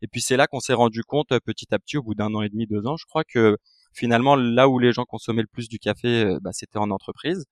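A man's voice: mid-range voice, fast talker, no room sound, clean studio sound. A French man in his twenties, a company co-founder, chatting casually as a guest with lots of fillers and restarts. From France. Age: 20s. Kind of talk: casual business interview.

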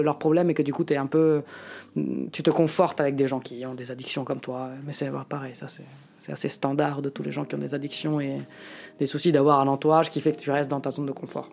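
A man in his late twenties from Italy, talking frankly and reflectively in an interview and often pausing to find his words. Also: fairly high pitch, darkish tone. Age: late 20s. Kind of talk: candid personal testimony.

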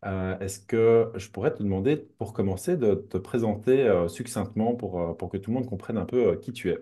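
A man's voice: lowish voice, a little fast, warm tone, breathy edge. A man hosting a podcast, reading an earnest introduction and then welcoming his guest. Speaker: podcast host. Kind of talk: podcast host, intro and interview.

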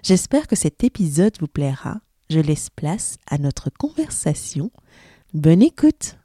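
A woman's voice: deep voice, big pitch swings, slow, dark tone, slightly rough, dry recording, clean studio sound. A woman hosting a podcast, introducing her guest and asking questions with enthusiastic encouragement. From Belgium. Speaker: podcast host. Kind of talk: podcast interview.

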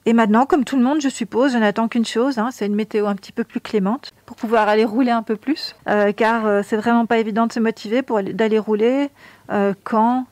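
A woman's voice: fairly high pitch, a little mellow, no echo. A woman chatting casually about her cycling blog, speaking with fillers. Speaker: cycling blogger, show contributor. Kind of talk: casual chat.

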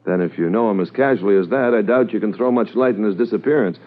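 Man playing senior police commissioner, very low voice, world-weary, formal, 1950s radio drama, muffled narrow-band recording. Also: quick pace, lightly acted. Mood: businesslike.

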